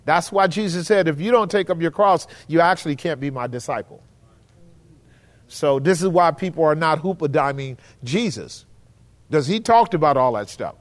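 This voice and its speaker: A man's voice: fairly high pitch, expressive, normal speed. A man leading a church Bible study, teaching in an earnest, emphatic preacherly way.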